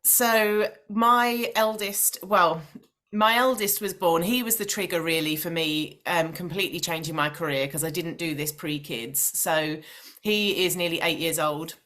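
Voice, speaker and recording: A woman's voice: fairly low pitch, a little slow, a bit bright. A woman speaking as an interview guest, telling personal stories in a conversational, explanatory way. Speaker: podcast guest, maternal mental health coach. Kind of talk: personal storytelling in casual interview.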